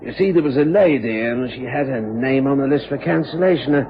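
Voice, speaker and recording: An actor playing an apologetic, eager ship's purser in a vintage radio drama, hesitant and deferential. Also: male voice, mid-range voice, fairly quick, close to an everyday voice. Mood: apologetic, flustered. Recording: muffled old radio broadcast with hiss.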